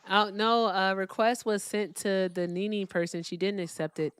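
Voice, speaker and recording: A woman's voice: medium pitch, fairly even intonation, normal speed. Blunt, profane woman livestream host. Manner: blunt, profane, laughs often. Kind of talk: casual livestream chat.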